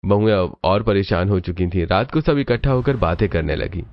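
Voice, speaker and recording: A man's voice: low voice, animated, moderate pace, muffled recording. A man narrating a scripted cartoon story as its storyteller.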